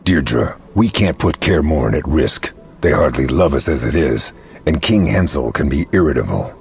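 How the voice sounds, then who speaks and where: A gravelly monster hunter, extremely deep in pitch. He sounds subdued and earnest, a conscientious game character, with faint noise behind the voice. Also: lively intonation, normal speed, heavily acted. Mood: conflicted, earnest. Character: torn, honour-bound male monster hunter, gentle and earnest. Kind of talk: video game voice acting.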